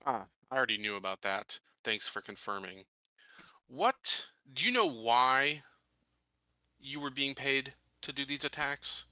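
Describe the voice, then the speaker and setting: A man's voice: mid-range voice, lively intonation, a little slow, sharp tone, dry recording. A man playing a tabletop roleplaying game, moving between casual talk and in-character questioning.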